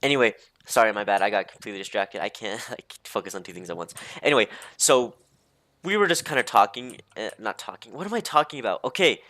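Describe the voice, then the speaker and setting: Rambling young man with a lowish voice. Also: animated, pushed and bright, dry recording. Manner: hesitant, halting, easily distracted, heavy use of "like". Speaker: storyteller. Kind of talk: casual rambling storytime.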